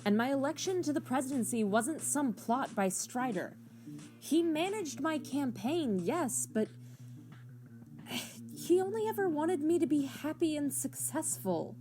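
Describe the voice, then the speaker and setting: Woman acting a proud, prim, high-voiced politician. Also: expressive, measured pace, dark tone, faint music behind. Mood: defensive, hurt. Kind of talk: amateur audio comic drama.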